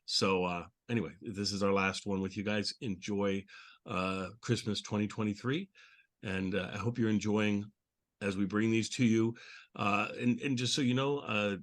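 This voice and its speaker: A man's voice: on the low side, steady tone, moderate pace. A man hosting a podcast, chatting casually and explaining things in an informal way. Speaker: podcast host. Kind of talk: casual chat introducing a show episode.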